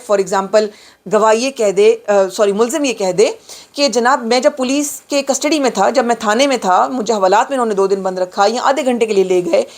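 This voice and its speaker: High-pitched Pakistani woman lecturing. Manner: emphatic, repeats and rephrases key points, mixes in English phrases. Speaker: lawyer giving a law lecture. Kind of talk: explanatory lecture.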